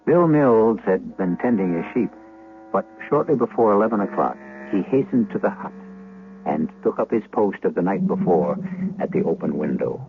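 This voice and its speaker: Low, theatrical man hosting tinny old radio. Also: animated, moderate pace, a little hiss or hum. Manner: theatrical, ominous. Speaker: radio drama host and narrator. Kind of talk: scripted suspense narration, old-time radio.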